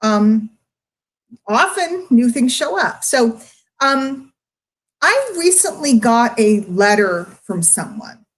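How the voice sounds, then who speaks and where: A middle-aged woman speaking emotionally and candidly to her online followers, confiding and emphatic, with drawn-out words and pauses. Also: on the high side, sing-song, rough recording. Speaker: online marketer addressing followers. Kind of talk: candid livestream monologue to followers.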